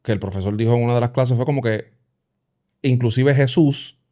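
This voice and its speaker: A man with a Puerto Rican accent, co-hosting a casual talk about church and culture, quick and lively, sometimes slipping in English words. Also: medium pitch. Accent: Puerto Rican Spanish. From Puerto Rico. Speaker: podcast co-host.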